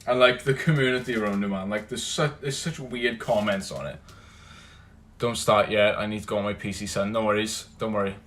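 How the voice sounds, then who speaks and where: A young Welsh man hosting a casual livestream, greeting viewers by name and chatting loosely, loud when he greets people and low and mumbled when he muses. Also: fairly even intonation, fairly quick, a little hiss or hum. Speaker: livestream host. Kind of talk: casual livestream chat reading comments.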